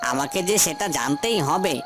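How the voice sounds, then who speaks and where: Man acting an earnest, higher-voiced cursed prince. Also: animated, moderate pace, over music, lightly acted. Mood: pleading, determined. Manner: earnest, pleading, respectful. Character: earnest, pleading young prince cursed out of human form. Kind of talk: dubbed cartoon fairy-tale acting.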